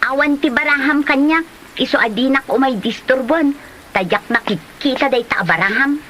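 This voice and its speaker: Casting: actress as a headstrong young student for comedy drama; high pitch, light background noise. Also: female voice, normal speed. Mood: annoyed, defiant. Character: stubborn young student daughter refusing to marry a gambler. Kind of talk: amateur Ilocano comedy drama acting. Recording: noisy, steady hiss behind.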